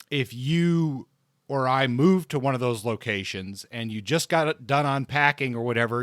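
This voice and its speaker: A man's voice: mid-range voice, a bit bright, no echo, clean studio sound. A man co-hosting a book discussion podcast, talking casually and thinking aloud as he goes. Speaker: podcast co-host discussing books.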